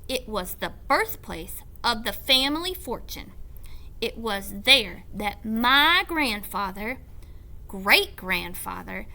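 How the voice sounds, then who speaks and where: A woman reader acts out an elderly lady librarian from a storybook, proud and genteel. The voice is high and slow, with dignified, lively swoops as the tale is told. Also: heavily acted.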